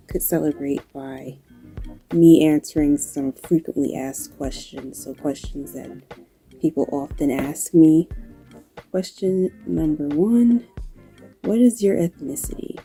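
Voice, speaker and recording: A woman with a New York accent and occasional Southern touches, talking casually to camera in a low-key, dry, unhurried way, answering questions. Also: dark tone, low-quality recording. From New York, United States. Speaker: video creator and graphic designer.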